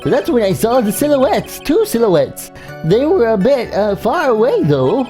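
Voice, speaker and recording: A lively, mellow-voiced male clown witness from a courtroom game, played by a woman, rambles eagerly. The pitch bounces around freely, and music plays underneath. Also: unhurried. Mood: storytelling. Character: chatty, eager male circus clown witness fond of bad jokes. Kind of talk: amateur voicing of a courtroom video game.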